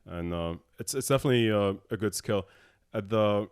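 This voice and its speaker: A man in his thirties from Abu Dhabi, a tech co-founder talking as a podcast guest in a halting way with many filler sounds. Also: fairly low pitch, clear, resonant tone. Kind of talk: casual interview talk.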